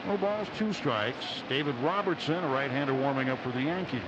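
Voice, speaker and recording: A man does live baseball play-by-play in a mid-pitched voice. He describes the action in measured, short phrases with pauses between them. The radio sound is dull, with heavy outdoor stadium noise behind him. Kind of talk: live radio sports commentary. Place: outdoor ballpark broadcast booth. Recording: dull radio broadcast, loud stadium ambience. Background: loud outdoor ballpark ambience.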